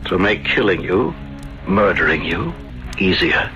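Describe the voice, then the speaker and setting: Casting: gloating, vengeful killer for vintage radio play; very low, wildly swooping delivery. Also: male voice, sing-song, unhurried, sharp tone, full character voice. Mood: cold gloating. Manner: menacing, taunting, theatrical. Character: vengeful, gloating murderer, a cruel jilted husband. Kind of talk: 1970s radio drama acting. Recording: dull vintage radio recording, music behind.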